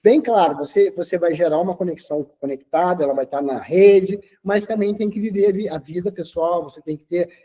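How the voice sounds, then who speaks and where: Older male interview guest, 60s, instructive and talkative; high, husky voice. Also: brisk, few pauses, dark tone. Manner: lecturing, tags sentences with "tá?". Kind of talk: casual interview talk.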